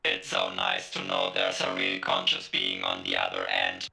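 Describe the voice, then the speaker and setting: Extremely deep, gravelly cyborg villain. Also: male voice, very animated, normal speed, pushed and bright, roomy sound, soft background music, exaggerated voice. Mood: gloating. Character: taunting cyborg game villain, male, condescending.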